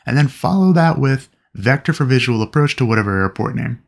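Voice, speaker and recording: A man's voice: mid-range voice, vocal fry. A man giving a scripted explainer narration in an instructional manner, as if teaching peers. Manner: instructional, addresses fellow pilots.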